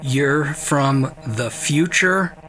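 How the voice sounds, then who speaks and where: Man voicing male scientist, raised voice pitch, unhurried, anxious, awed, hesitant, stubbornly curious, sci-fi audio drama. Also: fairly bright tone, poor audio. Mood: bewildered. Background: prominent dramatic music.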